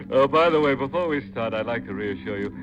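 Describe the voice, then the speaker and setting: An actor playing a slyly jovial, macabre horror host on 1950s radio, theatrical and mock-courteous in manner. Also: male voice, mid-range voice, background noise, natural voice. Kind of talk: 1950s radio mystery hosting and narration. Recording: muffled 1950s radio recording with music.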